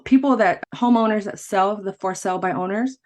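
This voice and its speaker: A woman's voice: medium pitch, fairly quick, darkish tone. A woman hosting a podcast interview, talking casually and rambling a little, with restarts and frequent laughter. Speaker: podcast host.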